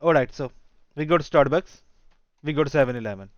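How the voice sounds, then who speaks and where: A man's voice: fairly high pitch, fast talker, no room sound, highs cut off, close to an everyday voice. A man with an Indian accent improvising a cocky, touchy police job applicant who rambles and keeps asking for agreement in a comedic roleplay. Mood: rambling, earnest. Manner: rambling, repeatedly seeking agreement with "correct?" and "you know".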